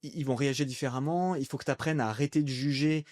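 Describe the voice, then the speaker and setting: Fast-talking rambling French guest. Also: male voice, mid-range voice, a little mellow, dry recording, clean studio sound. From France. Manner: rambling, many fillers and restarts. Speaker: podcast guest, entrepreneur. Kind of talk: casual conversational interview.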